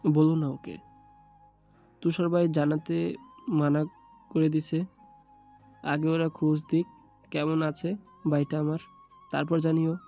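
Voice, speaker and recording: A man's voice: fairly high pitch, little pitch movement, takes it slowly, creaky, long pauses, warm tone, faint music behind. A man voicing a grieving young man in an audio story, speaking in a subdued, earnest way.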